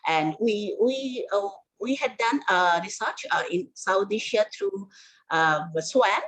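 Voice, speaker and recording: A woman academic from Bangladesh on a video call, her voice a little husky. Her pitch rises and falls widely as she searches for words. She speaks earnestly as a webinar panelist. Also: lively intonation, slow pace, fairly bright tone, rough recording. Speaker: academic in media and gender studies.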